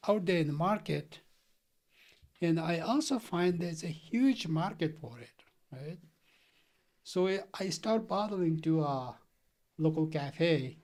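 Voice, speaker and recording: A man's voice: sits high, darkish tone. A middle-aged man from Nepal, earnestly telling his story as a guest in a casual interview, chuckling often. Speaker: company founder guest.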